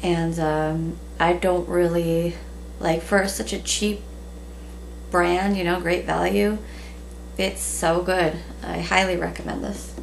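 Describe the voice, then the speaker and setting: A woman in her thirties chatting casually in a relaxed way with many ums, recorded at home on a camera at a table. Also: fairly low pitch, slow, creaky. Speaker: vlogger. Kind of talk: casual haul video chat. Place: small room at home, indoors. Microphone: camera in front at table. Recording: noisy home webcam recording.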